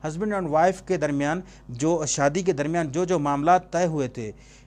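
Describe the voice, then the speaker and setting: Male lecturer, Pakistani accent, voice a bit on the high side. Informal, didactic exam coaching built on rhetorical questions, with English terms mixed in. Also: a little slow, a little mellow. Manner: didactic, poses questions and answers them himself; switches between Urdu and English terms. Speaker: law teacher.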